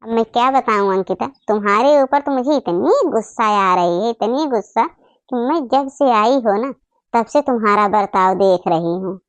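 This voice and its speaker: A woman's voice: on the high side, expressive, moderate pace, no echo, lightly acted. A woman voicing an angry, fed-up mother in a cartoon, scolding emphatically and repeating herself. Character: angry, fed-up mother throwing out her boastful daughter.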